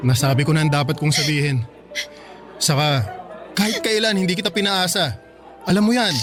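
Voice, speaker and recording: Actor playing a frank, higher-voiced young Filipino man. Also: steady tone, a little fast, music in the background, close to an everyday voice. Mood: guilty, placating. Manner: gentle, apologetic, candid. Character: kind but frank young man rejecting an admirer. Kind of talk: Filipino radio drama acting.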